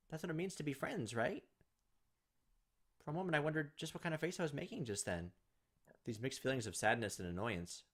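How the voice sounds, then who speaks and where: A gaming video host reading visual novel text aloud, dropping in casual, playful asides to the characters. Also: medium pitch. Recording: music behind the voice. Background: game music.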